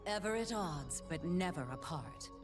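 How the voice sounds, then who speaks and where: A woman's voice: on the low side, expressive, normal speed, distant mic, over music, lightly acted. An actress playing a proud, devout sun warrior woman in a video game, earnest and stately as she declaims.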